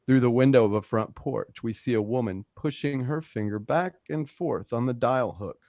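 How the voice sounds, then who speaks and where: A man's voice: medium pitch, normal speed, darkish tone, no echo. Dry, close-miked male narrator. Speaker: narrator reading stage directions. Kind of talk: scripted radio play narration. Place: at home, close dry microphone.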